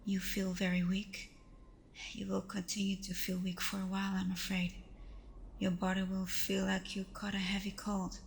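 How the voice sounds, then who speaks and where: A woman playing a gentle, protective vampire girlfriend in an ASMR roleplay, speaking softly and often close to a whisper, soothing and unhurried. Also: mid-range voice, completely flat delivery, a little hiss or hum, natural voice. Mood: tender, reassuring. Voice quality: soft, breathy, often near whisper.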